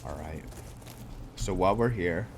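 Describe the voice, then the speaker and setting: A man's voice: deep voice, a little slow, slight background noise. A man giving casual, hesitant gameplay commentary, thinking aloud as he goes. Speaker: gaming video host. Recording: background music under close commentary.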